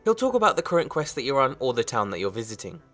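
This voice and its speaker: A man's voice: mid-range voice, sing-song, fast talker, a bit bright. A man hosting a game mod review, reading scripted narration in an orderly presenter's manner. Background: music.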